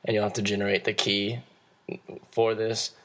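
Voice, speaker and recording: A hoarse young man, losing his voice, giving a class presentation and explaining steps in a halting way with frequent restarts. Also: fairly low pitch, monotone, a bit bright, poor audio. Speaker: student presenter.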